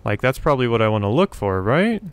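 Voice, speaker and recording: A man with a clean, smooth voice at an average pitch hosts a gaming video. He talks casually, working things out aloud as if to himself. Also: very animated, fast. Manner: thinks aloud, talking to himself and the game. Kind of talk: casual let's play commentary, reading game notes aloud.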